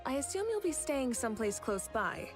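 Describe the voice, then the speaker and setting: A woman voicing a composed, kindly, gently teasing female leader in a video game, with measured delivery. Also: sits high, big pitch swings. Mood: kind, amused. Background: music.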